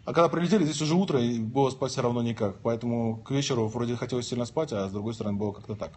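A man's voice: mid-range voice, rapid-fire, a little mellow. A man in his thirties films himself on a phone held at arm's length while he lies in bed. His voice sits mid-range, and he reads viewers' questions aloud before answering them with jokes. A faint noise runs under the phone recording. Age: 30s. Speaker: livestream host. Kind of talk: casual livestream chat reading viewer questions. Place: lying in bed indoors.

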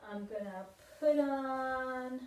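Very slow sing-song older woman, roomy sound. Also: sits high, a little mellow. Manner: sing-song, child-directed, acting out movements. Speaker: library storytime leader. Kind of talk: children's action song and talk. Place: living room. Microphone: camera a few steps away. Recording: roomy, distant, some background noise.

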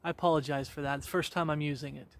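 A man's voice: on the high side, fairly even intonation, racing. Earnest man preaching on a forest walk. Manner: earnest, repetitive, exhorting, with many fillers and restarts. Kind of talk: walk-and-talk devotional preaching. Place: outdoors on a wooded rural road. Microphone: handheld camera at arm's length.

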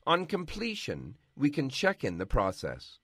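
A man giving scripted, measured narration for a software tutorial. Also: medium pitch, huge pitch swings, slightly rough, no room sound, clean studio sound. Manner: measured, instructional.

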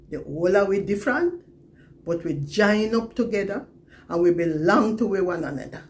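An older woman with a Jamaican accent reads scripture to camera in a measured, deliberate way. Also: fairly low pitch. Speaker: scripture reader. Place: plain indoor room, seated.

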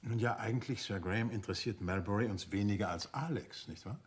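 An actor playing a shrewd, courteous gentleman detective in a German radio crime drama, urbane, probing and gently ironic. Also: lowish voice, quick pace, slightly rough. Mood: shrewd, composed.

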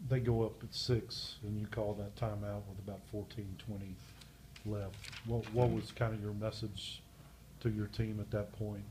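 Low, flat-voiced man reporter. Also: slight background noise, low-quality recording. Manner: casual, chatty questioning with fillers. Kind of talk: press conference questions.

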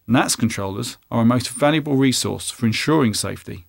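A man, presenting a scripted explainer in a clear, measured way, addressing the listener directly. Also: mid-range voice, no room sound, clean studio sound. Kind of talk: scripted narration to camera. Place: studio, indoors.

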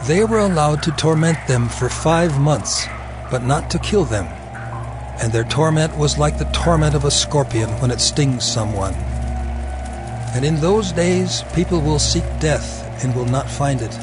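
A man narrating dramatized scripture in a solemn, measured storytelling manner. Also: on the high side, lively intonation. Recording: music behind the voice.

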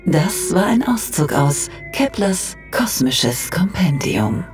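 A solemn woman narrates a cosmic encyclopedia in an audio drama. Her delivery is deadpan and very unhurried, in a slightly low voice over soft music. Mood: deadpan, instructive.

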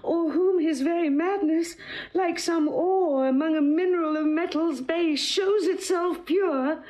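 An anguished queen in a Shakespearean radio play. Her voice is extremely high, and she declaims slowly and with grief. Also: darkish tone, low-quality recording. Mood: distraught. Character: distraught queen, a grieving mother of grown son. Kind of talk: classical Shakespearean radio acting.

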